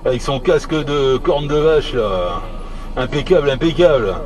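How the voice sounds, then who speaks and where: A man chatting casually on CB radio in a halting, repetitive, teasing way. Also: mid-range voice, expressive, heavy background noise. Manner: hesitant, halting, repeating words; jocular, teasing. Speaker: amateur CB radio operator.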